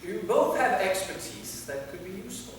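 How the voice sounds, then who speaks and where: A man's voice: sits high, expressive, moderate pace. A man acting an anxious, earnest, centuries-old vampire knight in a live staged play reading. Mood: explaining. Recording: echoey hall from far off, faint noise.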